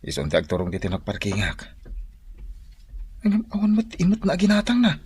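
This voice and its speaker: A man's voice: a little high, huge pitch swings, brisk, a little mellow, a little hiss or hum. A man with an Ilocano accent acting an easygoing, put-upon husband who mutters and sighs in a radio drama. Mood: weary, grumbling. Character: easygoing, put-upon married man, obliging brother-in-law, mildly grumbling.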